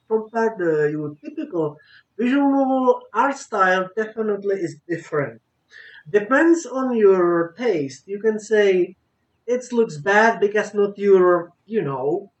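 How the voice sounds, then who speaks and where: A woman who is not a native English speaker, giving an unscripted game review in a halting, hesitant way with broken grammar. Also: mid-range voice. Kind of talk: unscripted review commentary over gameplay. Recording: roomy echo, distant microphone. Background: acoustic guitar music.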